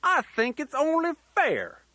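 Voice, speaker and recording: Children's toy tape grandpa bear: elderly, folksy, playful rambler, slow delivery, very animated swooping intonation. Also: male voice, extremely high pitch, dry recording, full character voice. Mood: cranky, amused. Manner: folksy, rambling, sing-song rhyming asides.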